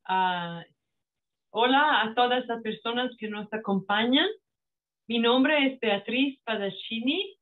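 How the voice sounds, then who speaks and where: A woman whose first language is Italian gives a formal welcome speech in a strong Italian accent, talking haltingly with frequent restarts over a video call. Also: on the high side. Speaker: network manager opening a panel. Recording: phone-like narrow sound.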